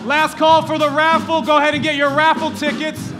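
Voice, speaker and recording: A man's voice: very high-pitched, completely flat delivery, brisk, music in the background. A man in his forties hosting a live podcast celebration on a theater stage, making upbeat emcee announcements. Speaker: podcast host. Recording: live theater sound with band and crowd.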